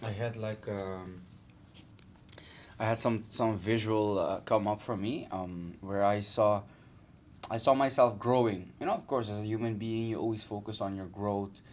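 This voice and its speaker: A man from Aruba with a low voice. He talks in a thoughtful, soft-spoken way during a casual podcast chat. He often pauses to search for the right words. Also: steady tone, a little hiss or hum. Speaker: podcast co-host.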